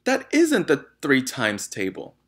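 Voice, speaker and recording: A man's voice: mid-range voice, wildly swooping pitch, a little slow, slightly rough, voice pushed up, heavily acted. A man voicing a nervous, flustered small schoolboy in a storybook, stammering and protesting.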